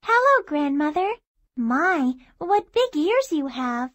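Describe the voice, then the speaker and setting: A woman's voice: high-pitched, big pitch swings, slow, fairly bright tone, no room sound, exaggerated voice. A woman playing a sweet, innocent little girl in a children's fairy tale, polite and wide-eyed with a sing-song delivery. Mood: cheerful.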